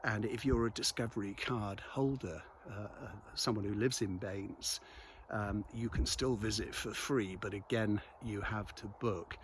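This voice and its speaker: An older man with a fairly low voice gives a chatty, reflective monologue. He pauses and feels his way through his thoughts while out walking. Clear outdoor background noise sits behind him. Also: steady tone. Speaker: local video blogger and commentator. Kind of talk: casual spoken monologue to an audience while out walking.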